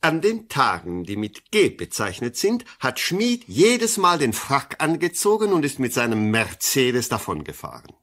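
Keen detective, very lively intonation. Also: male voice, medium pitch, a little slow, few pauses, exaggerated voice. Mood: precise. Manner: precise, deductive, courteous. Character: keen, polite male police detective, eager deputy. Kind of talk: radio drama acting.

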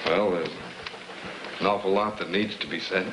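An actor playing a troubled middle-aged American father, measured and restrained, in 1950s film drama. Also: low-pitched, steady tone, close to an everyday voice. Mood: serious, firm. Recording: noisy old film soundtrack.

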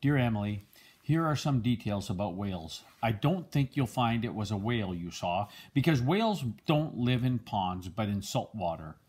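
Husky older man reading a storybook. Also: on the low side, big pitch swings, normal speed, a little mellow. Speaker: storybook reader, a grandfather. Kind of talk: reading a children's picture book aloud.

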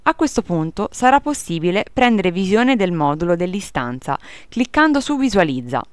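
Clear-voiced woman narrating a tutorial. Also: lowish voice, big pitch swings, moderate pace, no room sound. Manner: formal, procedural. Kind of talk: scripted instructional narration.

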